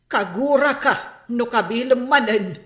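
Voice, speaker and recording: A woman's voice: fairly high pitch, measured pace, a bit bright. An actress playing a protective, reproachful mother who stands up to her husband in a radio drama. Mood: defensive, pleading. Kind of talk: Filipino radio drama acting. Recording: echoey radio drama studio recording.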